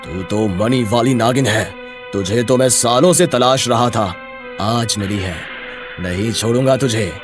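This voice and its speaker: A man's voice: medium pitch, unhurried, music in the background, natural voice. A man voicing a theatrical, commanding holy man who catches serpents in a moral story. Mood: menacing.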